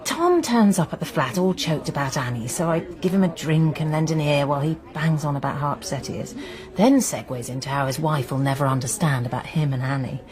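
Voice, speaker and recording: A woman's voice: low-pitched, expressive, brisk, crowd noise. An actress playing a frank, inquisitive woman in a radio drama, chatty and emphatic as she confides and questions. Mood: confiding.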